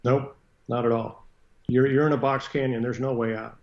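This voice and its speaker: Even-toned American man in an echoey room. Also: mid-range voice, normal speed. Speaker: interview guest, retired military fighter pilot and aviation businessman. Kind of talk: conversational interview commentary. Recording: roomy echo, dull muffled sound.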